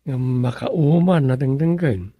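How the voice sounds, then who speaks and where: An actor playing a pensive story writer who mutters and muses to himself in a radio comedy drama. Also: male voice, mid-range voice, takes it slowly, mellow, slightly rough, no room sound. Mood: pondering.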